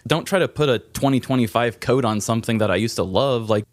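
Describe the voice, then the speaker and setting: A man's voice: mid-range voice, fast talker, dry recording. A man hosting a podcast, chatting casually about films in an informal, opinionated way with casual swearing. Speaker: podcast host. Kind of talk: casual movie chat.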